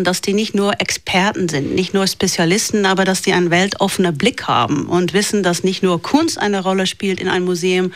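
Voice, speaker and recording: A woman's voice: mid-range voice, no room sound. Flemish woman, dry close sound. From Belgium. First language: West Flemish. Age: 40s. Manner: thoughtful, frequent hesitations. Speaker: museum director. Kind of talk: interview answers.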